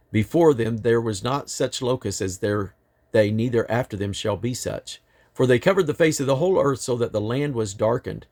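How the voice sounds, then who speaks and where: A man reading the Bible aloud in deliberate, emphatic phrases, with an occasional stumble. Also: mid-range voice, gravelly, darkish tone. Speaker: Bible reader.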